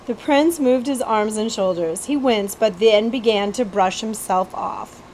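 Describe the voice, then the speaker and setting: A woman's voice: medium pitch, unhurried, a little hiss or hum, poor audio. A woman reading a children's story aloud expressively, as a librarian would, stumbling now and then. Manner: animated storytelling with occasional stumbles and asides.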